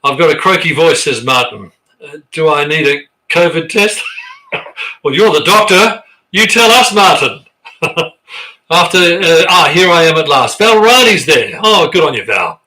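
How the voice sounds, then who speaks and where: Bright-voiced Australian choir director. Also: male voice, fairly high pitch, lively intonation, unhurried, rough recording. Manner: chatty, rambling, with frequent restarts and fillers. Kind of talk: live online rehearsal, reading chat comments aloud. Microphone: sensitive computer microphone.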